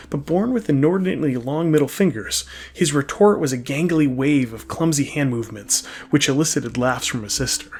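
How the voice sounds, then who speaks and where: A man reading a scripted story expressively, like an audiobook narrator. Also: mid-range voice. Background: faint music.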